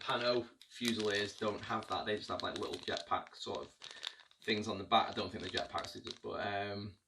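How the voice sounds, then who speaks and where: A man's voice: on the low side, recorded from a distance, background noise. A man giving a casual unboxing review of tabletop miniatures, chatty and hesitant, with many ums and restarts.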